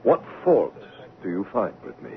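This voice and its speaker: Tinny old radio recording, dour gentleman, low-pitched, commanding, curt, widely varied intonation. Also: wildly swooping pitch, normal speed, gravelly, full character voice. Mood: brooding, sardonic. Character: dour, brusque, brooding master of the house. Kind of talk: 1970s radio drama acting.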